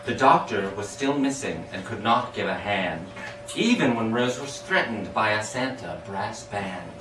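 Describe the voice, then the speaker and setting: A man giving an expressive, dramatic recital of storytelling verse in a sing-song rhyming rhythm. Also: fairly low pitch, measured pace. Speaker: amateur poet reading their own poem. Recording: echoey room, distant mic, background hiss.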